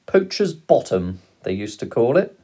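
A man's voice: medium pitch, sing-song, measured pace, mellow, husky, voice pushed up, heavily acted. A man voicing a roguish, gleeful father who tells stories with dramatic relish in an audiobook. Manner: exclamatory, relishing, dramatic delivery. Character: roguish, gleeful father, fond storyteller of poaching.

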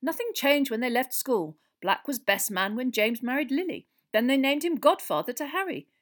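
A woman voicing a pompous older male government minister, gruff and confiding, in a storybook reading. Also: sits high, lively intonation, normal speed, a bit bright, voice pushed up. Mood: grave, confiding.

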